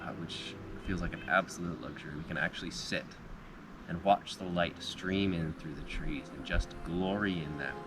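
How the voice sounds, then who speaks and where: A slightly hoarse man giving a thoughtful, upbeat end-of-day recap at an outdoor backpacking campground. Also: deep voice, moderate pace. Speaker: backpacking vlogger. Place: outdoor backcountry campground by a river. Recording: outdoor recording with clear background noise.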